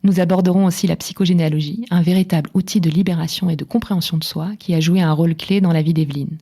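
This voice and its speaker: A woman's voice: lowish voice, fairly even intonation, fast talker, mellow. A young woman hosting an interview podcast, who talks hesitantly with many fillers and restarts. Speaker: podcast host and coach.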